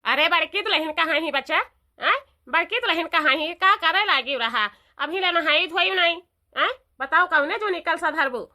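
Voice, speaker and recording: A woman's voice: very high-pitched, animated, normal speed, pushed and bright, full character voice. A woman voicing a bossy but kindly old village mother-in-law in a cartoon, with an eastern Uttar Pradesh accent and a repetitive, instructing manner. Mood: nagging. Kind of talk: cartoon dialogue.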